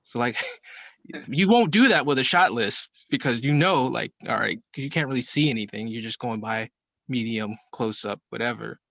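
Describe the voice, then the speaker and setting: A man's voice: medium pitch, expressive, moderate pace, creaky, a bit bright, dry recording. A man, a student, explaining informally with examples in a casual group discussion.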